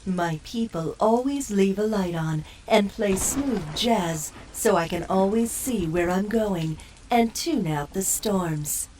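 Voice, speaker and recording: A woman's voice: medium pitch, slow pace, darkish tone, natural voice. A woman voicing a pampered, nervous talking pet in a comedic audio drama. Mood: anxious. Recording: slightly distant, faint noise.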